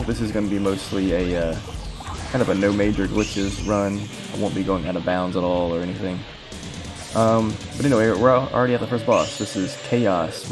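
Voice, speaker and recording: Low male voice, casual gameplay commentator, informal rambling explanations, game music behind. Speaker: speedrunner commentator. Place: voice-over recorded over game footage.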